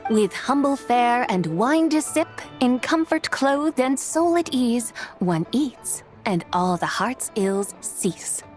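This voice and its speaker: Haughty, high-voiced immortal mountain hermit woman. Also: animated, slow, hardly any pauses. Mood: amused, grand. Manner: theatrical, archaic formal phrasing, condescending. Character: haughty, teasing, carefree female mountain hermit adeptus. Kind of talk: scripted video game voice acting. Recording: music under the voice.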